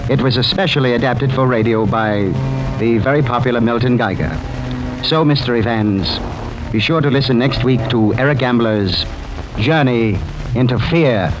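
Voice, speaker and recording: A man's voice: medium pitch, moderate pace, poor audio. Male old-time radio mystery host over music.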